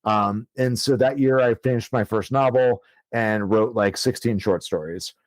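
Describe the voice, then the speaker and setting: A man's voice: on the low side, measured pace, no room sound. A man in his thirties, an author guest telling his story in a casual, rambling way with many fillers and easy swearing. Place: podcast studio.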